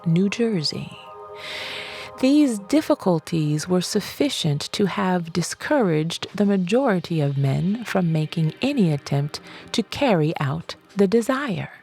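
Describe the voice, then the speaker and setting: A woman reading an audiobook as scripted narration, in a measured, deliberate way with emphatic phrasing. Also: on the low side, gravelly, darkish tone, breathy edge. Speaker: audiobook reader.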